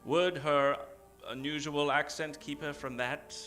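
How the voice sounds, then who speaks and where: A man hosting a live old-time radio show as a grandiose, theatrical announcer with dramatic pauses. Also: fairly high pitch, steady tone, fairly bright tone. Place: theater stage, before an audience. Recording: live stage show with music behind. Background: music.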